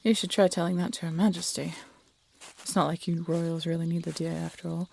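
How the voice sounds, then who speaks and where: A woman's voice: fairly low pitch, level tone, a little fast, gravelly, a little mellow, slight background noise. A woman playing a guarded, defiant peasant huntress in a fantasy audio drama, casual and sardonic. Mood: wry, guarded. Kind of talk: fantasy audio roleplay acting.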